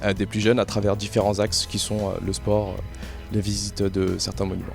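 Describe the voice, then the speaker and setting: A man in his twenties, a pharmacy student answering interview questions deliberately with many fillers. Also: fairly low pitch, completely flat delivery, gravelly, soft background music. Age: 20s.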